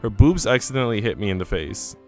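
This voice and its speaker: A man's voice: on the low side, animated, fast talker, a little mellow, soft background music. A man narrating a story from a visual novel, reading both the prose and the dialogue aloud.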